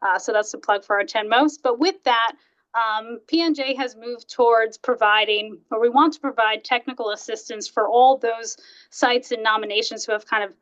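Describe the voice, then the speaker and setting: A woman hosting an online webinar and introducing it informally, with halting phrasing. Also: on the high side, a bit bright. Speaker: program host introducing a speaker. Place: online video call. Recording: dull, muffled online call audio.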